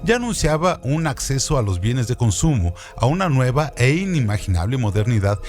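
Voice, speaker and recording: A man narrates scripted literary notes as a radio co-host, with lively swings in intonation. His delivery is rhetorical and expository. Faint music runs beneath the voice. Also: medium pitch, a little fast.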